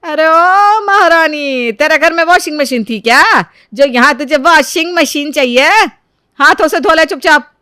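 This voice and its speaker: A woman acts out a scheming mother-in-law for a storybook drama. She is high-pitched and theatrical, scolding with heavy sarcasm and martyr-like fuss. The sound is dry and close. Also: a little slow, bright, forceful tone, no echo. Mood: bossy, sarcastic. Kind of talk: Hindi moral-story voice acting.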